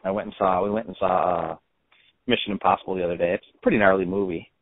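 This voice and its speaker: A man's voice: sits low, fairly even intonation, fast, no room sound. A man hosting an interview by phone in a casual, slangy, conversational way. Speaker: interview host. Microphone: telephone. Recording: tinny, band-limited phone line.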